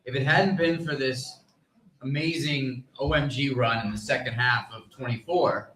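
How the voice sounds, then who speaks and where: A man explaining sports news on a live panel in a measured, conversational way. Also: mid-range voice, fairly bright tone, slight background noise. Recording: echoey live room.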